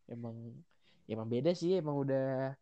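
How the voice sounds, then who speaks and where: A man's voice: medium pitch, moderate pace, dark tone, clear, resonant tone. A young man with a Jakarta Indonesian accent hosting a casual podcast chat, slangy and agreeable. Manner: slangy, agreeable, reacts often. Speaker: podcast host. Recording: dull, muffled lo-fi audio.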